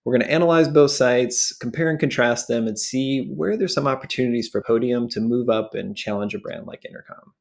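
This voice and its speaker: A man's voice: mid-range voice, a little fast, creaky, few pauses. A man hosting a product walkthrough, explaining things in short phrases as commentary. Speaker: show host and presenter.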